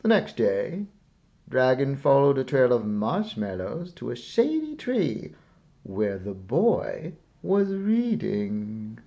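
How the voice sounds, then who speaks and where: A man reading a children's picture book aloud in a theatrical, expressive way with dramatic pauses. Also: mid-range voice, vocal fry, lo-fi sound. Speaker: storybook reader.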